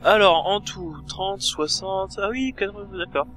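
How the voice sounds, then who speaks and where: A man's voice: sits high, a little slow, music in the background. A man playing a video game with a friend, chatting casually and teasing with jokes. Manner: joking, teasing, giggly. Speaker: co-host of a gaming video. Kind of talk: casual gameplay banter.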